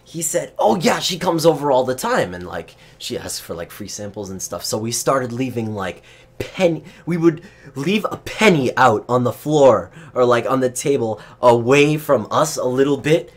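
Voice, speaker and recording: A man with a very lively, swinging delivery chats casually. He tells anecdotes in an animated, informal way and swears without holding back. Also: mid-range voice, normal speed. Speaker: storyteller on a comedy chat show. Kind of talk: casual chat, telling work anecdotes.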